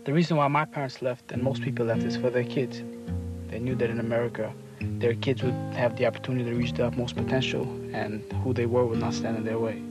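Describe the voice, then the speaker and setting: Young New man over loud guitar music. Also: medium pitch, level tone, fast talker. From Odessa, Ukraine and Brooklyn, New York. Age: 20s. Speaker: boxer. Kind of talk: reflective documentary interview. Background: plucked guitar music.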